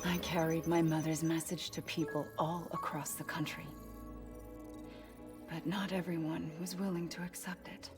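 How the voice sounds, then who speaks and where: An actress playing an earnest, idealistic American woman leader in a video game, speaking softly and sincerely with measured phrasing. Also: sits low, little pitch movement, close to an everyday voice. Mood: earnest, resolute. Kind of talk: dramatic cutscene acting. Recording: music under the dialogue.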